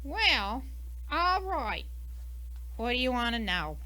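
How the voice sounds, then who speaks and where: Woman playing feisty high-pitched American suspect, sharp and animated. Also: takes it slowly, heavily acted, poor audio. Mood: defensive, evasive. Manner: brash, snappy, huffy. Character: feisty, defensive, indignant single American woman, murder suspect. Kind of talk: old-time radio mystery acting.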